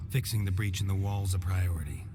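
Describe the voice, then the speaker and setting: A very deep male voice for a gruff, stoic monster hunter in a video game played by a male actor. He speaks in short, restrained lines with a weary sense of duty. Music plays clearly behind him. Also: brisk, lightly acted. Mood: resolute, terse. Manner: terse, restrained. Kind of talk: video game cutscene acting.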